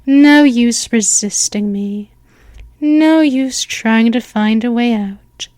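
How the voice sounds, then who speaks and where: A woman playing a slow, hypnotic vampire mistress. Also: fairly high pitch, dry recording. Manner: slow, soft, hypnotic, with whispered stretches. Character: seductive female vampire mistress hypnotizing her listener. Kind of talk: hypnosis roleplay audio.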